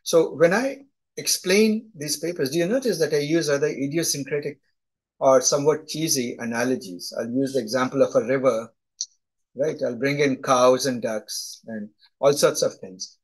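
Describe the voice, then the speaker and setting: A man's voice: mid-range voice, moderate pace, slightly rough, low-quality recording. A middle-aged man with a thick Indian accent, teaching a live class over a video call, chatty and often asking "right?". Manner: conversational, often tags "right?". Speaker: instructor. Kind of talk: live online lecture.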